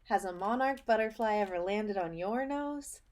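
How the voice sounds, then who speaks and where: A woman teacher reading a story aloud for children, explaining as she goes and pausing to put questions to the listener, with emphasis on key phrases. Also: medium pitch, animated, a little mellow. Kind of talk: read-aloud lesson for children, asking questions.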